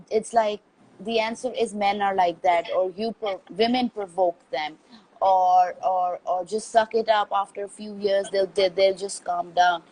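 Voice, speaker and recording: A woman host from Pakistan, asking questions thoughtfully and hesitantly, pausing to correct her slips. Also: medium pitch, darkish tone, slight background noise, rough recording. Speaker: podcast host. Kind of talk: interview conversation.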